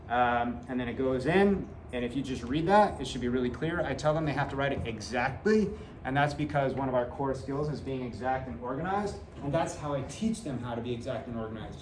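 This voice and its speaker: A man's voice: mid-range voice, reverberant, slight background noise. A man in his forties, a seventh grade teacher, talking earnestly to parents in a classroom with many fillers, picked up by a camera a few meters off. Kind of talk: back-to-school talk to parents. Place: classroom in front of a whiteboard. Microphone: fixed camera at a few meters.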